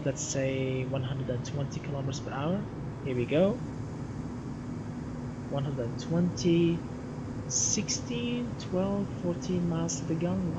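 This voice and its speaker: A man in his twenties with a Syrian Arabic accent, chatting enthusiastically to viewers as a live gaming streamer and often repeating his phrases. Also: medium pitch. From Damascus, Syria. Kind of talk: casual live gaming stream chat and commentary. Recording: muffled lo-fi audio, clear background noise.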